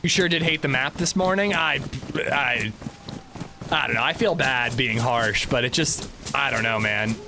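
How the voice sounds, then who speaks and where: A man's voice: mid-range voice, a little slow, background noise. A man streaming a video game, chatting casually with his chat in a rambling, chatty way and swearing freely. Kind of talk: casual livestream chat while gaming.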